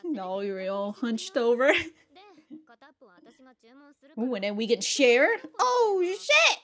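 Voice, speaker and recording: A woman's voice: fairly high pitch, big pitch swings, slow, a bit bright, faint music behind. A woman giving casual, slangy reaction commentary as a video host, exclaiming and swearing freely.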